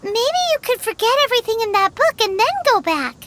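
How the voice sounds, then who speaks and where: A woman playing a small, earnest, helpful fairy companion in a video game, with a childlike squeaky voice. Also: big pitch swings, a little fast, a bit bright, full character voice. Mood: sympathetic.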